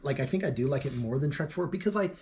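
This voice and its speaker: A man's voice: on the high side, expressive, fast, darkish tone, slight background noise. A man co-hosting a casual album discussion in an informal, conversational way. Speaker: music review show co-host. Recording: music clearly playing behind the voice.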